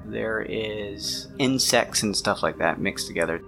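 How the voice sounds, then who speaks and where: A man's voice: lowish voice, a little slow, creaky. A man narrating a tabletop mystery game as game master, in a conversational, descriptive way. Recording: music playing behind the voice. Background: background music.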